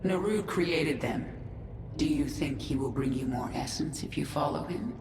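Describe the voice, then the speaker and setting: A woman's voice: low-pitched, expressive, moderate pace, vocal fry, background noise. A woman playing a fierce, vengeful commander of an alien swarm in a video game, speaking with menacing authority. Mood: grim resolve. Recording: echoey, distant, under loud game music.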